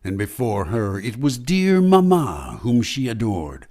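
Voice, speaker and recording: A man's voice: mid-range voice, very animated, a little slow, darkish tone. A man playing a sardonic, knowing narrator in an old-time radio melodrama, insinuating and mocking.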